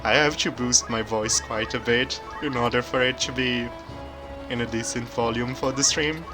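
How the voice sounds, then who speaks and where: A soft-spoken man from Brazil, a native Portuguese speaker, chatting calmly while streaming a game. His accent is hard to place and often taken for German. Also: mid-range voice, moderate pace. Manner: soft-spoken, quiet and calm. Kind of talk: casual live-stream chat while gaming. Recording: game music playing behind.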